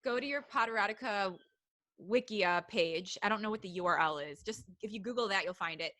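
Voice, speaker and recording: A woman co-hosting a podcast over a video call, chatting casually, giggly and excitable. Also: mid-range voice, a little fast, no echo, studio quality. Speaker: podcast co-host. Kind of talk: casual chat.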